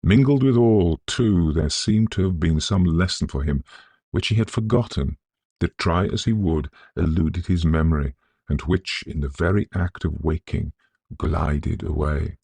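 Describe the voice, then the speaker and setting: A man with an extremely low, creaky voice narrates a gothic tale in a close, dry recording. His delivery is scholarly and measured, full of atmosphere, as in a classic dramatic audiobook. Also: a little mellow, no room sound. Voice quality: gravelly, husky. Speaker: narrator of a Victorian ghost story.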